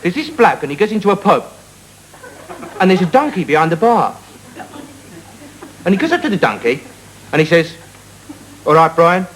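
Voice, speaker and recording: A man playing a dim, self-important young bloke who rambles matily and haltingly through a comic monologue. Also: sits high, sing-song. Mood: rambling, pleased. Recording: noisy live recording with loud crowd.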